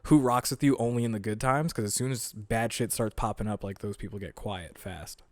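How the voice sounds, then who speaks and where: A man's voice: fairly low pitch, fairly quick, dry recording. Laid-back young man hosting a podcast. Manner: laid-back, swears casually. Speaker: podcast host. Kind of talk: casual podcast chat. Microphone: studio podcast mic.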